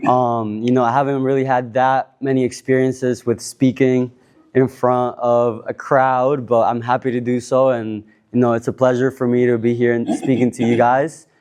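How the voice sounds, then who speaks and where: A man in his twenties from Cuba, introducing himself on a panel in a relaxed, rambling way with many fillers and restarts. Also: mid-range voice, moderate pace, clean tone. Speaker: panel speaker. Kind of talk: casual self-introduction at a panel.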